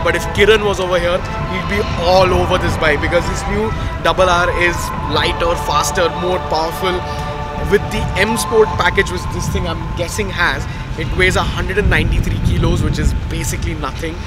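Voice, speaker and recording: A young man, vlogging casually and enthusiastically at an outdoor car show, talking into the camera with filler "uh"s. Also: sits high. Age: young adult. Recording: loud music behind the voice.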